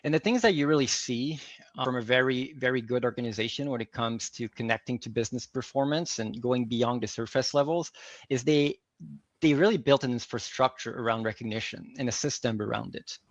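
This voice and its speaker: A man's voice: mid-range voice, a little fast, no room sound. Man, Québécois French accent, earnest, thinking aloud, dry close sound, dull lo-fi audio. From Quebec City, Canada. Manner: earnest, restarts and repeats words while thinking. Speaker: guest, workplace psychology advisor. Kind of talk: conversational interview answers.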